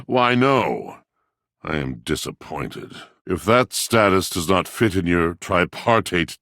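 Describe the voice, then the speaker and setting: Game role: courtly, florid washing machine with a poet's soul; rough husky bass, closely and drily recorded. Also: male voice, fairly bright tone, dry recording, studio quality, heavily acted. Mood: disappointed, disdainful. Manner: theatrical, florid, formal old-fashioned diction. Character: grandiose, poetic male washing machine, courtly and sentimental. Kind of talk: video game character voice acting.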